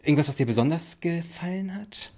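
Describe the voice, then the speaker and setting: Lively man presenting in casual chat. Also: on the high side, brisk. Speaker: presenter, writer and actor. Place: living room, indoors.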